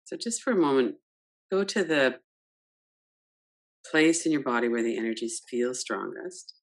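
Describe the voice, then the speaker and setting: Gentle woman guiding a meditation. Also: very deep voice, animated. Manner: gentle, unhurried, guiding with soft questions. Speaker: meditation teacher. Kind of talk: guided yoga nidra meditation.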